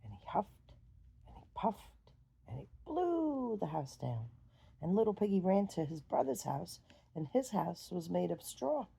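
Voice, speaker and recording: A woman storyteller improvising a bedtime fairy tale in simple, halting, sing-song phrases. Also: on the low side, big pitch swings, creaky, mellow, breathy edge.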